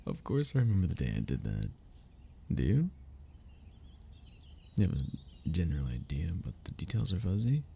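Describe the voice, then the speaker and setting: A man's voice: low voice, sing-song, moderate pace, vocal fry, pauses often, mellow, slight background noise, heavily acted. A man playing a sentimental, teasing young man in an ASMR roleplay, talking intimately and conversationally to a childhood best friend. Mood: playful teasing. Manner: intimate, conversational, with sighs and chuckles. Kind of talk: ASMR roleplay audio drama.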